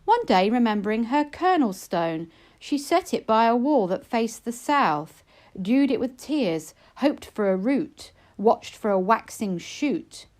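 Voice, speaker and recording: Slow, measured woman reading narrative verse. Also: on the high side, big pitch swings, darkish tone. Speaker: poetry reader.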